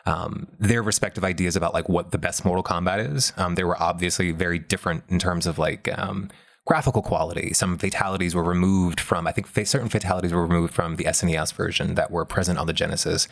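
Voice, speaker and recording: A man with a low, creaky voice talks through ideas as a show co-host. His delivery is analytical and thoughtful, in long sentences with many qualifications. The fry gives his speech a gravelly edge. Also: steady tone, quick pace. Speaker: co-host of a video game discussion show. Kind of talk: analytical pop-culture discussion.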